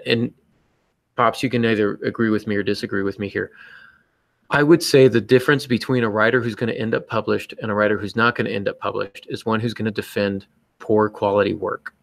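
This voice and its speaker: A man's voice: medium pitch, a bit bright, no room sound. Emphatic man talking casually, close dry sound. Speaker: podcast co-host and writer. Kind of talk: casual advice talk with acted-out exchanges.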